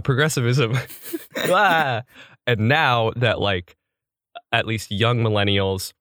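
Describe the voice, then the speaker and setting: Male podcast co-host, young adult, fairly low voice, dry recording, casual and jokey, performs little imagined reactions. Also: fairly bright tone, dry recording. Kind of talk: casual chat.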